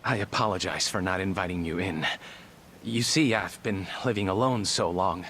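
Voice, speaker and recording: An actor playing a reserved, formal middle-aged male clinic director with a measured delivery in an English video game dub. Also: low voice, fairly bright tone, a little hiss or hum. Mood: courteous, guarded.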